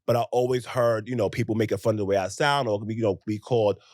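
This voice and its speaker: The low-voiced young American guy on a chatty podcast, emphatic and animated, close and dry as if across a small table from you. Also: fast, dry recording. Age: young adult. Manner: animated, emphatic, with rhetorical questions and acted-out asides. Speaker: podcast co-host. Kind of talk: casual conversational podcast chat.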